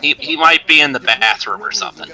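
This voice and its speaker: A man on a live reaction call, hesitant, with lots of pauses and restarts. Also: a little high, pushed and bright, rough recording. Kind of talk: casual live reaction chat.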